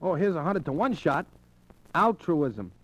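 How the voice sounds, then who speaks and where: Cagey American office clerk, vintage radio play: slightly high pitch, lively inflection, slangy wisecracks. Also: male voice, unhurried, low-quality recording. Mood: eager, cagey. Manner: slangy, wry. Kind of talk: old-time radio drama acting.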